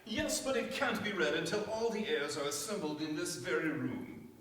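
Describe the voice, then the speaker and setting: A man's voice: on the high side, moderate pace, fairly bright tone, lightly acted. A man playing a formal, dutiful family lawyer in a staged mystery radio play. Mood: proper, discreet. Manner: formal, proper. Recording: echoey stage from far off, faint noise.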